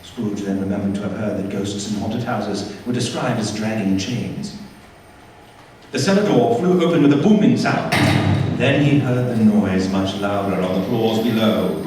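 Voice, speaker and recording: A man acting as narrator of a staged radio play, telling a ghost story with suspenseful, deliberate phrasing. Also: on the low side, a little mellow, close to an everyday voice. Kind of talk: dramatic scripted narration. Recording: echoey hall, distant mic, faint noise.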